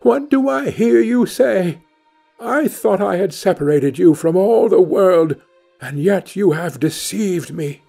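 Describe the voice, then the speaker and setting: A man's voice: high-pitched, expressive, slow pace, gravelly, mellow, faint music behind, pitched above the performer's own voice, exaggerated voice. A man voicing a wicked old enchantress in a storybook, cruel, mocking and exclamatory. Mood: outraged. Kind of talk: storybook character voice.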